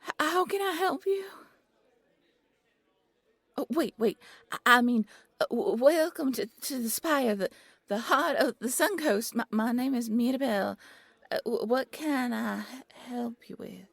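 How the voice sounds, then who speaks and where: Woman doing a shy bunny girl receptionist for audio roleplay, breathy n stammery, super flustered, close dry recording. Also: on the high side, measured pace, dry recording. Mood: apologetic, nervous. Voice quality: breathy, soft. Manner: nervous, stammering, easily flustered. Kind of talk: audio roleplay character acting.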